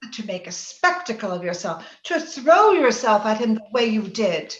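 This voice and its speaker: A woman's voice: fairly high pitch, sing-song. An actress playing an anguished, jealous, haughty young married lady in an old-time radio drama, with a melodramatic delivery. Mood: scolding, haughty. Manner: melodramatic, halting when upset. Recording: roomy, slightly dull remote-call sound.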